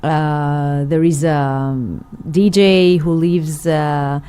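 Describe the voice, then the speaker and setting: A woman's voice: sits low, fairly even intonation, very slow, warm tone, clear, resonant tone. A middle-aged woman, a singer, telling her story in an interview with many fillers and restarts. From Israel. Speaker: guest, singer. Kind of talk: interview conversation.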